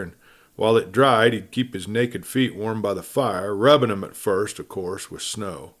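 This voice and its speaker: A man reading a classic adventure tale aloud as a storyteller, in a measured, dramatic way with phrase endings trailing off softly. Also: medium pitch. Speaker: audiobook narrator.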